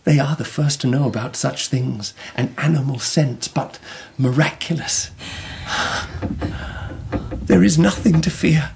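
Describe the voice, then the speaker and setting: An actor playing a serenely assured emperor of Japan in a radio drama, speaking in measured, deliberate phrases. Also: male voice, medium pitch, gravelly, a little mellow, slight background noise. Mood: awed certainty.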